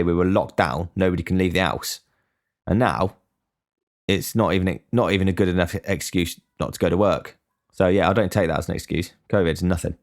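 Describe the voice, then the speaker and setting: A young man giving a blunt, sweary casual monologue as a podcast host. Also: sits low. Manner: blunt, swears freely, ranting.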